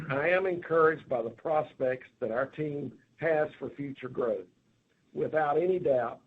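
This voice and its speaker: A man, a company chief executive, reading formal prepared remarks on an earnings call in a measured way. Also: medium pitch. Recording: tinny phone line with faint noise.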